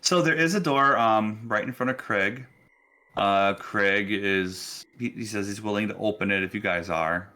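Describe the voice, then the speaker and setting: Bright-voiced man running a tabletop game. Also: lowish voice, unhurried. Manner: casual, conversational. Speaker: game master. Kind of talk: narrating a tabletop roleplaying game.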